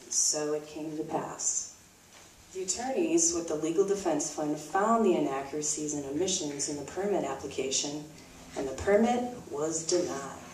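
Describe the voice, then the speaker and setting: A woman's voice: sits low, moderate pace, darkish tone. A woman narrating a puppet show in a scripted, storybook style with a wry manner. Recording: roomy, distant microphone, faint noise.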